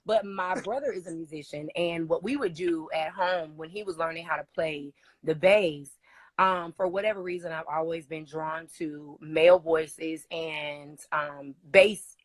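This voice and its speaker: Raspy low-voiced woman, dry sound. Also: measured pace, no room sound. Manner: chatty, enthusiastic, many fillers. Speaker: singer, guest. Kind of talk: casual interview chat.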